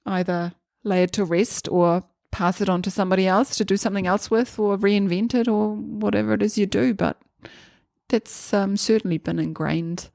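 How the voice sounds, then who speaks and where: A woman from Germany with a German accent, a podcast guest talking in a relaxed, thoughtful way with many small pauses. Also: medium pitch, gravelly, no room sound, clean studio sound. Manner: thoughtful, hesitant with many ums. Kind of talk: casual interview chat.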